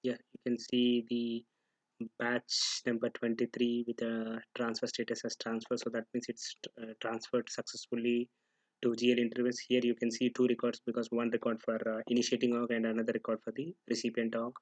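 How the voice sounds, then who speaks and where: A man giving an unscripted, step-by-step software tutorial, halting and pausing mid-sentence as he goes. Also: medium pitch, completely flat delivery, dry recording, rough recording.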